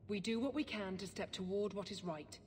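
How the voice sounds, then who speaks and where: An actress playing a stern, secretive, zealous church sister in a video game, speaking formally and gravely. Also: female voice, normal speed, a little mellow, close to an everyday voice. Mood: resolute. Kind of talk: video game dialogue acting. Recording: reverberant game audio with low rumble.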